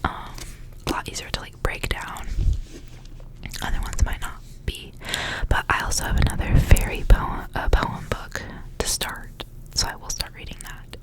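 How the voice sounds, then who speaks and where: A woman whispering softly in a breathy, rambling ASMR style, as a host reading and chatting casually. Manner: soft, rambling, repeats words.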